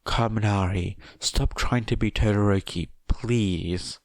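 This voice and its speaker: A man plays a gloomy teenage boy from a storybook, grave and grandiose. The voice sits low and unhurried, every phrase formal, in a dry recording. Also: no room sound. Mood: gloomy, stern. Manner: solemn, formal, grandiose wording. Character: brooding, theatrically gloomy teenage boy student.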